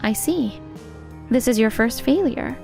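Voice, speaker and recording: Woman acting a high-voiced, composed young office supervisor. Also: lively intonation, measured pace, vocal fry, darkish tone, faint music behind. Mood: encouraging. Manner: composed, earnest, supportive. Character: poised, encouraging young female office supervisor, kind but frank. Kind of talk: anime-style dubbed dialogue.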